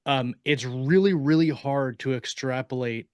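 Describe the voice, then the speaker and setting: A man's voice: medium pitch, unhurried, darkish tone, no echo. A man speaking casually as a panelist in a podcast discussion.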